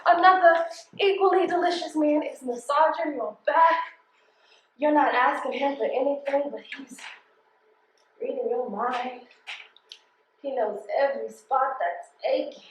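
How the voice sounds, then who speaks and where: A woman playing a sassy, blunt, fun-loving postal carrier on stage, with a teasing manner. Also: high voice. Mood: dreamy, sultry. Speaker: stage actress. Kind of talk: live stage play acting, colloquial dialogue. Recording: echoey stage room with faint noise.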